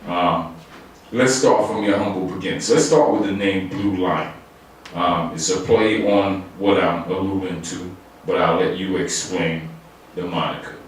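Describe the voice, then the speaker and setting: Deep-voiced male radio host, echoey studio. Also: measured pace. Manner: relaxed, conversational, some fillers. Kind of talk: radio interview hosting. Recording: very echoey room, distant mic, faint noise.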